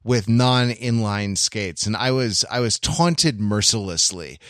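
A man co-hosting a casual chat, telling anecdotes in a wry, halting way. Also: fairly low pitch, expressive, fairly bright tone, dry recording, studio quality. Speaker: podcast host.

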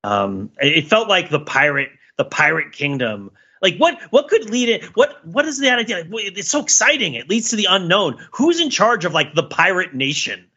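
A man's voice: high-pitched, very animated, moderate pace, hardly any pauses, bright, forceful tone, breathy edge, muffled recording. A man co-hosting a podcast, riffing in casual, opinionated banter full of rhetorical questions. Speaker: podcast co-host.

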